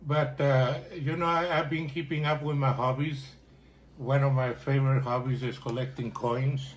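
An older man with a Chilean Spanish accent talks about his life from his living room. His voice sits at a middle pitch, and he stumbles through his answers with frequent restarts and fillers. He is recorded on a camera phone nearby. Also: flat delivery, slight background noise. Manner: warm, halting, many fillers and restarts. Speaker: interviewee. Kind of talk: casual conversation. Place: living room at home, indoors.

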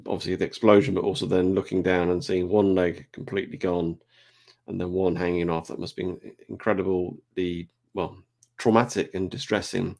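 Deep gravelly male podcast host. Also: darkish tone. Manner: measured, thoughtful questioning. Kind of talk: video call interview. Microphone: video call.

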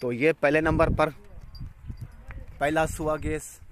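A man's voice: fairly high pitch, little pitch movement, slow, slight background noise. Goat farm owner, 30s, salesman's patter, phone camera recording outdoors. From Pakistan. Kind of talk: casual vlog narration. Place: outdoors on a farm, village road.

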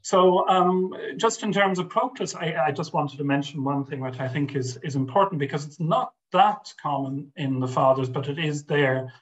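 A man's voice: fairly high pitch, normal speed, few pauses, highs cut off. An older man, a guest scholar speaking over a video call from a home study, thoughtful and hesitant with many restarts and fillers. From Ireland. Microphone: webcam on video call.

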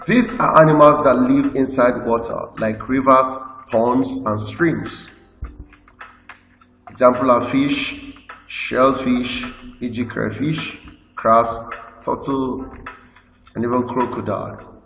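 Nigerian man reading lesson slides, gravelly voice. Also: medium pitch, steady tone, fairly bright tone. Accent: Nigerian English. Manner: slow, list-like reading with explanations. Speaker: teacher. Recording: echoey room with long reverb, faint music.

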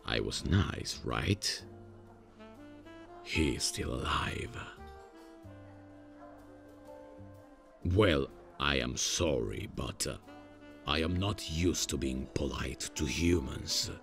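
A man acts out a proud male demon in an ASMR roleplay, with an exceptionally deep voice full of gravel. He speaks extremely slowly and haltingly, awkward yet menacing, in a grumpy deadpan. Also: soft background music, exaggerated voice. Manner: hesitant, deadpan, menacing, slow.

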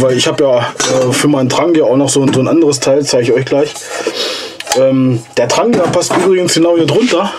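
A man in his forties, hoarse from a cold, casually and enthusiastically reviewing gear in a basement vlog. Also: a little high, noisy background. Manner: enthusiastic, chatty, slangy. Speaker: outdoor gear channel host. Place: cluttered basement storage room, indoors. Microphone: camera mic from a few steps away.

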